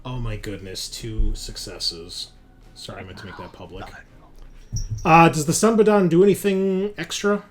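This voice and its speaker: A man's voice: mid-range voice, fairly even intonation, normal speed. A man running a tabletop roleplaying game casually as game master, on a home mic. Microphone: home mic on online group call. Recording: clear background noise on home mic.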